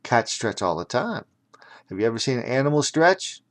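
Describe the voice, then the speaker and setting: A man's voice: mid-range voice, very animated, normal speed. A man narrating a children's audiobook theatrically, breaking off for chatty explanatory asides.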